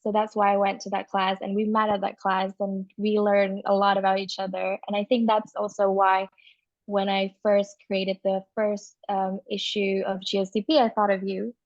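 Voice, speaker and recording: A woman's voice: mid-range voice, completely flat delivery, moderate pace, dry recording, lo-fi sound. A young woman from Indonesia, a magazine founder talking as a guest in a casual interview, thoughtful and hesitant with many fillers.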